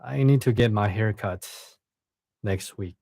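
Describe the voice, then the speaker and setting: A young man with a Chinese accent chats casually on a live stream, soft-spoken and a little hesitant, often doubling back on his words. His voice sits on the low side. The sound is dry and close, with no room echo. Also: measured pace, creaky. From China. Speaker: live streamer reading stories.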